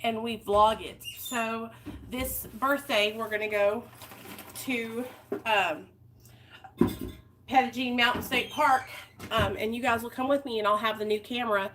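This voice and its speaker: A woman's voice: on the high side, fairly even intonation, unhurried, pauses often, noisy background. A woman, talking candidly and heartfelt to her viewers in a personal vlog. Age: 30s. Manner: candid, heartfelt, rambling with fillers. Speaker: vlogger.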